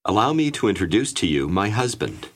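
Lively low male lesson narrator. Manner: clear, measured instructional delivery. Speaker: course narrator. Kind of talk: scripted language-lesson narration.

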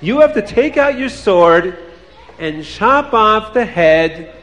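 Imperious storybook Tsar, played by a male storyteller: raised pitch, screamed commands, long hall reverb. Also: expressive, exaggerated voice. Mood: stern, commanding. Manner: shouted, drawn-out commanding questions. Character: screaming, imperious Tsar bullying his soldiers.

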